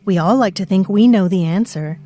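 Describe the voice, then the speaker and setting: A woman's voice: medium pitch, a little fast, warm tone, faint music behind, close to an everyday voice. A woman narrating an audio drama as a mysterious presence living in a girl's mind, musing darkly and speaking straight to the listener. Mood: brooding. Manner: musing, ominous, addresses the listener directly.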